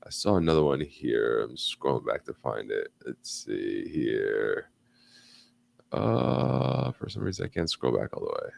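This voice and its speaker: Deep-voiced man hosting a live chat. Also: a little slow, gravelly. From United States. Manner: animated, rambling, frequent fillers and restarts. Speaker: livestream host. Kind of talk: casual live interview.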